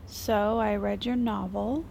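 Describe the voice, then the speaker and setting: An actress playing a blunt, quirky old confidante in an audio drama, deadpan with rambling odd humor. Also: female voice, medium pitch, takes it slowly, creaky, slight background noise. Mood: guarded, dry. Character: dry, quirky, frank old confidante of a First Lady. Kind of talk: audio fiction drama dialogue.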